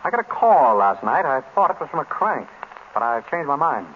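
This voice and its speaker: An actor playing a wry, unflappable private detective in an old radio drama, with casual, clipped banter. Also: male voice, a little high, big pitch swings, fairly quick. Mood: thoughtful, confident. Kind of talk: 1930s-40s radio drama acting. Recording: muffled old radio recording, faint noise.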